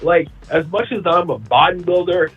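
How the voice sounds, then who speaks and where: A man's voice: sits high, normal speed, husky, dull audio, faint music behind. A man in his thirties chatting casually on a podcast, blunt and emphatic, often repeating himself as he builds a point. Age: 30s. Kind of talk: casual chat.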